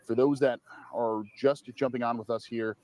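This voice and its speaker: A man's voice: medium pitch, steady tone, normal speed, warm tone. A man anchoring live breaking news, recapping for viewers as he goes.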